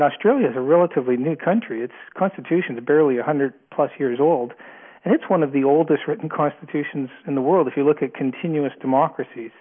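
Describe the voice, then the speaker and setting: Canadian man on a tinny phone line. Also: fairly high pitch. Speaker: author and professor, interview guest. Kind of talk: spoken interview answers, explanatory. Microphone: telephone.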